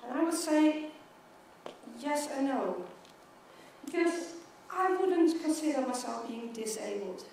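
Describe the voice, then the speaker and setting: A woman's voice: high voice, animated, takes it slowly, vocal fry, a little mellow, slight background noise. A middle-aged woman from Sweden with a Swedish accent, giving a conference keynote in English and stuttering with repeated words and syllables. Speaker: keynote speaker. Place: large conference hall. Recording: echoey hall, mic from far off.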